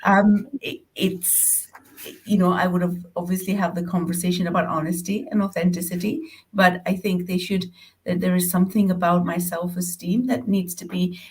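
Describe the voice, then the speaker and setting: A woman speaking as a panel guest, giving a reflective, conversational answer in a thoughtful way with pauses. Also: on the low side, darkish tone, slightly rough, poor audio.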